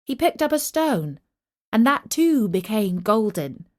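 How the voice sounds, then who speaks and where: Woman, children's story narrator, expressive, animated pitch, slow pace, careful phrasing. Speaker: storyteller, host.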